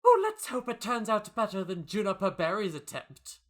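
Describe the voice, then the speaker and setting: Man voicing a prim, bright-voiced pony judge. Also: high-pitched, huge pitch swings, moderate pace, voice pushed up, heavily acted. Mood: expectant, prim. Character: genteel pony exam judge, eagerly expectant. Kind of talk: comic dub of a pony webcomic.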